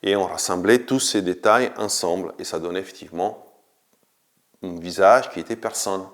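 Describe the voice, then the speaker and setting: Low gravelly man. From Italy. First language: Italian. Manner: hesitant, searching for words with many repeats. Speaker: sculptor. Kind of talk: reflective documentary interview.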